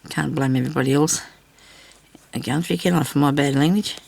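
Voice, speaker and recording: An older Australian woman with a low, husky voice roughened by a lingering cough, chatting casually and self-deprecatingly as she rambles through a craft video. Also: brisk, a little mellow, no room sound. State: lingering cough after weeks of sickness. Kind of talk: casual chat while hand stitching.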